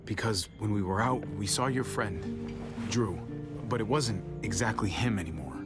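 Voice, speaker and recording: A man playing a steady, caring survivor of a zombie apocalypse in a video game, speaking calmly and sincerely. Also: fairly low pitch, normal speed, loud music behind the voice, lightly acted. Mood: gently firm. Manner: calm, reassuring, sincere. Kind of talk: naturalistic dramatic video game voice acting.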